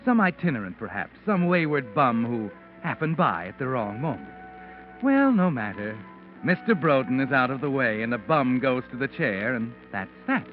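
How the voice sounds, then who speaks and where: An actor playing an ominous, taunting mystery narrator in a 1940s radio drama, speaking in a knowing, deliberate way. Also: male voice, mid-range voice, sing-song, close to an everyday voice. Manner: knowing, deliberate, sinister storytelling. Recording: muffled vintage radio recording with music.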